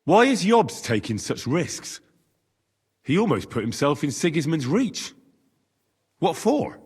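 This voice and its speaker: An actor playing an earnest, questioning young man in service to medieval lords in a video game cutscene, with a husky voice. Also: on the high side, very animated, unhurried, darkish tone, exaggerated voice. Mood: puzzled. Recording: long hall reverb.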